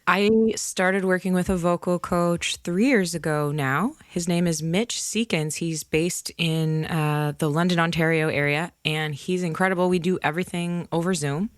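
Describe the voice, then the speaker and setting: A woman singer speaking as a podcast guest, candid and open as she tells her stories, with occasional laughs. Her voice is slightly low for a woman, recorded close and dry with no room echo. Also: steady tone, normal speed, hardly any pauses. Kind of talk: casual interview chat.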